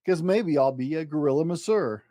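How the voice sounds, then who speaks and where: A man's voice: fairly high pitch, moderate pace, no room sound, clean studio sound, natural voice. A man voicing an exuberant, boastful eight-year-old schoolboy in a theatrical, rhyming picture-book story. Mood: insistent, excited. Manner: excitable, grandiose, theatrical.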